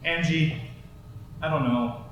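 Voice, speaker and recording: A man playing a brisk college newspaper editor in naturalistic film dialogue, recorded in an echoey space at a distance. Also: on the high side, muffled recording. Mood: reluctant. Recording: very echoey, distant, faint noise.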